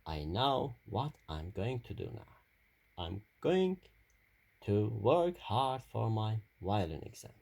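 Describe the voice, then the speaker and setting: An earnest young girl from a children's storybook, read by a man. The voice is husky and creaky, with a plaintive manner and swooping pitch. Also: very animated, takes it slowly, darkish tone, exaggerated voice. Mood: decided. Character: young girl, earnest, torn music student and gymnast. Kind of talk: children's storybook character reading.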